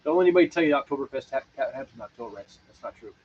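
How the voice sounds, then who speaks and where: A man's voice: medium pitch, animated, racing, breathy edge. A man co-hosting a homebrewing stream, chatting casually in a laid-back, rambling way. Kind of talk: casual chat. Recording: lo-fi, dull livestream audio.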